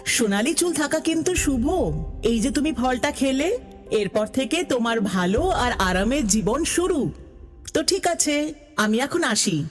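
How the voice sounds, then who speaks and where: A woman voicing a proud, gentle magical unicorn in a cartoon fairy tale. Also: sits high, expressive, measured pace. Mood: reassuring. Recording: long reverb, music behind the voice.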